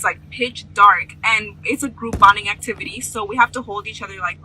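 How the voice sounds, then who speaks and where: Bright, sharp young woman telling stories. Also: fairly high pitch, breathy edge, low-quality recording. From United States. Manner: conversational, slangy, emphatic swearing. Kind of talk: casual storytime.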